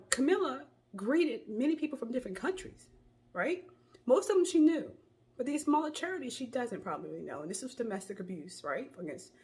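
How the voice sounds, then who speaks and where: A woman with a cold and a hoarse voice, giving chatty, rambling opinion commentary into her phone. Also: medium pitch, darkish tone. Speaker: commentary vlogger.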